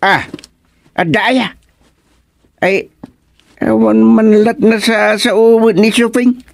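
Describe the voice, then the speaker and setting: A man with an Ilocano accent playing a proud, deliberate village chairman in a radio comedy-drama, musing in drawn-out phrases with many interjections. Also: sits high, steady tone, gravelly, no echo, studio quality, exaggerated voice. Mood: admiring.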